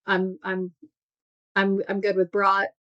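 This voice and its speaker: A woman's voice: medium pitch, completely flat delivery, fairly bright tone, muffled recording. A woman in her thirties with a Chicago accent, chatting loosely and easily as an interview guest. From Wisconsin. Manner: loose, chatty, quick to laugh along. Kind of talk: casual interview chat.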